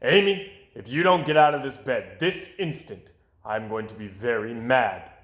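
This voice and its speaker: A man's voice: mid-range voice, normal speed, a bit bright, close to an everyday voice. Man acting stern father, storybook, blustering, emphatic, roomy sound. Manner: emphatic, scolding.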